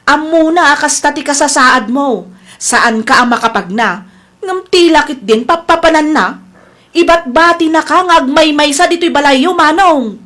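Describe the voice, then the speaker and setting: A pushy, scheming sister-in-law. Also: female voice, sits high, moderate pace, fairly bright tone. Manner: insistent, coaxing. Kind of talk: radio drama acting.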